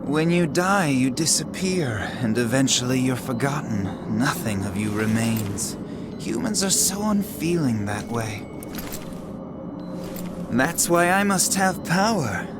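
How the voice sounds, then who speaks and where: An actor playing a cold, power-hungry villain ruler in a video game cutscene, with theatrical, condescending, drawn-out mocking phrasing. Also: male voice, medium pitch, sing-song. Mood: grandiose. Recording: loud orchestral music behind the voice.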